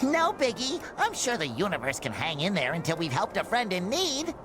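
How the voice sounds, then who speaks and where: A man's voice: huge pitch swings, normal speed, noisy background. A man voicing a cheerful, naive, childlike sea sponge in a cartoon game, nasal and eager. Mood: cheerful, helpful. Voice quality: nasal, squeaky. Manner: eager, chirpy.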